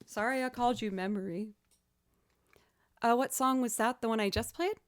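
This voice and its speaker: A young woman with a lower voice, chatting casually on a live music stream, self-deprecating and quick to laugh. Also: dry recording.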